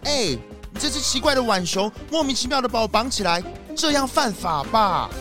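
Woman as a high-voiced, whiny, sly fox thief. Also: lively intonation, normal speed, over music, close to an everyday voice. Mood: indignant protest. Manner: whiny, protesting, dramatic. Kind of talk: children's audio drama acting.

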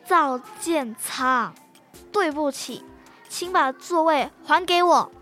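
A woman's voice: sits high, expressive, slow, music in the background. A young female student playing an earnest, reassuring schoolgirl daughter in a radio drama. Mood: protesting. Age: child or teenage student.

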